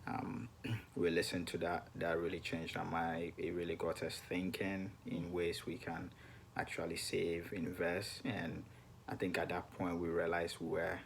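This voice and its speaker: Deep, flat, gravelly young man. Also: unhurried, slight background noise. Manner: hesitant, many ums and restarts. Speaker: co-host of a personal finance channel. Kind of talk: casual chat to camera.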